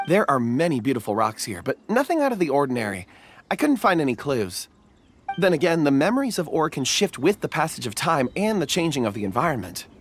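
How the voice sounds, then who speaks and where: A man voicing a gentle, thoughtful companion who speaks in a measured way. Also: on the high side, sing-song, a little hiss or hum. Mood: calm. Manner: measured, reflective. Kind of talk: video game voice acting.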